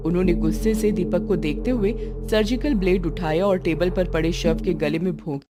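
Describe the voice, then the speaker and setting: A woman's voice: lowish voice, moderate pace. A woman narrating a scripted horror story. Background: loud music.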